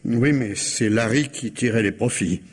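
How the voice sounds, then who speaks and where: An actor playing a suave, cynical crime suspect in a mystery radio play, speaking with polite, deliberate irony. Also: male voice, medium pitch, breathy edge. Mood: bitter, resigned.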